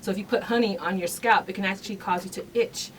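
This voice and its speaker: A woman's voice: medium pitch, steady tone, fast talker. A woman speaking as an interview guest, explaining things in an earnest, emphatic way. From Nigerian background. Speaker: interview guest, hair care company founder. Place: radio studio. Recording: noisy room, distant mic.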